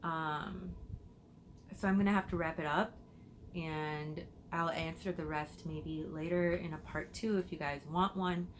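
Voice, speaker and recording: A woman's voice: low voice, fairly even intonation, a little slow, slight background noise. A woman from Illinois, a perfume vlogger answering viewer questions in a chatty way, thinking aloud as she goes, recorded on her phone. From United States, Illinois. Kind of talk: casual Q&A chat to camera.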